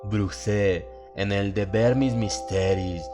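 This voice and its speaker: A man with a low voice narrates a horror story. His delivery is solemn and dramatic, in the manner of a dramatized audiobook. Music plays behind him. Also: fairly even intonation, slow pace.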